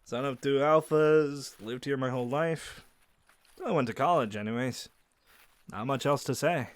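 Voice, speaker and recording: A man's voice: mid-range voice, moderate pace, natural voice. A man playing an easygoing young werewolf. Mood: relaxed. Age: young adult. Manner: easygoing, laughs often, answers unheard questions. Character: friendly young male werewolf guide. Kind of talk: audio roleplay to a silent listener. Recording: dry close recording.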